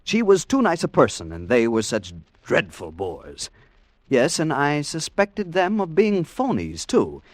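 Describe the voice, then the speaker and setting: A man's voice: on the high side, huge pitch swings, measured pace, no room sound, exaggerated voice. An actor playing a timid, prim American tourist in an old-time radio drama, stammering politely and narrating his adventure. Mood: uneasy, confiding. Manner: flustered, stammering, polite nervous chuckles. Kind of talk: 1940s-50s American radio drama acting. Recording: vintage radio broadcast with music.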